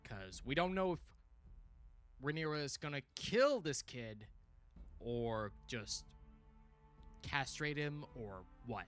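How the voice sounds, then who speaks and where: A man's voice: mid-range voice, slow pace, long pauses, clean tone, faint music behind. A man giving casual, exclamatory fan commentary as a livestream host, his voice tiring as he goes. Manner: animated, exclamatory, informal. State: voice tiring, frequent throat clearing. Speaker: livestream host reviewing a TV series.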